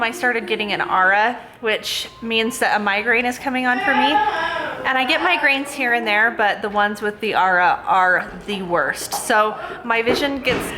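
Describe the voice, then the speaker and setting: A woman's voice: mid-range voice, bright, forceful tone. A woman vlogging in a casual, chatty way, rambling with lots of fillers. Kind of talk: casual chat to camera. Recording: noisy background hum.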